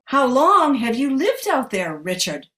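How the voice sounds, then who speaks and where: A woman's voice: high-pitched, sing-song, measured pace, a bit bright, exaggerated voice. An actress playing a sympathetic, curious woman in an audio play.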